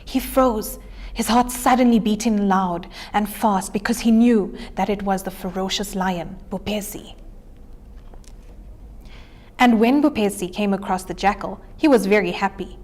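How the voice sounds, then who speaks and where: A woman's voice: medium pitch, measured pace, a little mellow. A woman reading a children's storybook aloud. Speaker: story reader.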